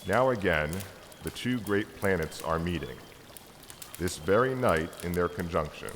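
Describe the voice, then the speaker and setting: A man's voice: sits low, lively intonation, a little slow, a bit bright, exaggerated voice. A man in a dramatic church reading, playing a devout, hopeful Magus who declaims solemnly and earnestly. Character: Artaban, devout, hopeful Persian Magus and stargazer. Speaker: amateur church reader. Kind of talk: dramatic reading of a Christmas story. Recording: echoey hall reverb with background noise.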